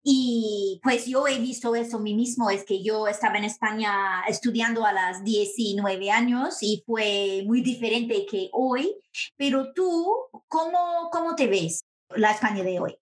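Woman, higher voice, learner Spanish, Mexican-leaning accent, friendly. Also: a little slow. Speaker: interviewer. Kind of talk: conversational interview.

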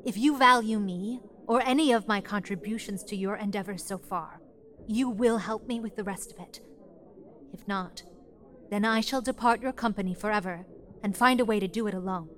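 A woman's voice: mid-range voice, normal speed, fairly bright tone, lightly acted. An actress playing an earnest, determined young woman in a fantasy audio drama, speaking in a precise, formal, insistent way. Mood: grave, resolute.

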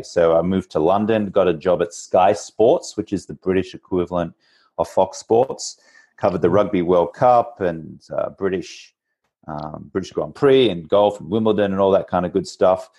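A man's voice: deep voice, mellow. A man in his forties from Australia with an Australian accent, telling his story casually as a podcast guest. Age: 40s. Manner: chatty, informal.

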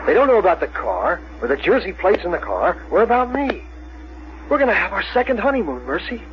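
An actor playing a boyish, lovestruck fugitive husband, halting and intense, in a 1970s radio drama. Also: male voice, sits high, very animated. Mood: eager, romantic. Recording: dull, very noisy vintage radio recording.

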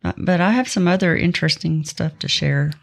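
A woman's voice: low-pitched, brisk, gravelly. A woman co-hosting a podcast, chatting informally as she shares announcements with listeners. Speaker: podcast co-host. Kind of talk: casual podcast chat with announcements.

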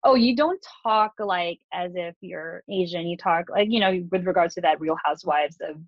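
A woman's voice: on the low side, normal speed, dry recording. Woman from the Philippines, chatty and full of fillers, on a dry, slightly dull mic. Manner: chatty, many fillers and restarts. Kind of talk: casual conversation.

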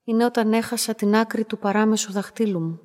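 An actress playing a young, lonely woman who narrates her own story in a radio drama, soft, restrained and matter-of-fact. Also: mid-range voice, steady tone, natural voice. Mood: subdued, hesitant. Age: young adult. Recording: music behind the voice.

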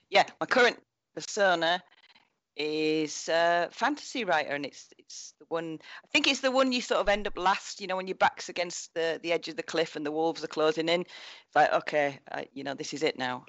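A woman's voice: low voice, a bit bright, no echo, highs cut off. A middle-aged woman, a guest author chatting casually on a video call podcast, self-mocking and swearing freely.

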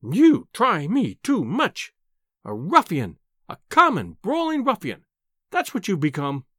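An audiobook wife in a furious temper, acted out by a man. She is small and shrill, pitched high with wildly swinging intonation. She scolds and wails with loud indignation. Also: huge pitch swings, slow, dry recording, clean studio sound, heavily acted. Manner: screaming, wailing, indignant tirades. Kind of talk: audiobook character acting.

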